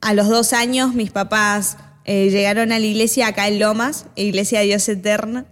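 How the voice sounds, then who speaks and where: A woman's voice: mid-range voice, fairly even intonation, moderate pace, in a large hall. A woman in her twenties with a mixed Argentine and Chilean accent, chatting casually as a podcast guest. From born in Chile, raised in Argentina. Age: 20s. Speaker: podcast guest, missions student.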